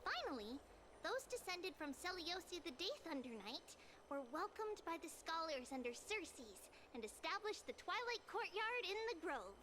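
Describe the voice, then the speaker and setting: A woman voicing a childlike, gentle and forgetful ancient girl in a video game. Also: very high voice, sing-song, moderate pace, noisy background. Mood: thoughtful. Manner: speaks of herself as we. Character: Lady Treby, childlike ancient female legend-keeper, gentle and forgetful. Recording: game music playing behind the voice.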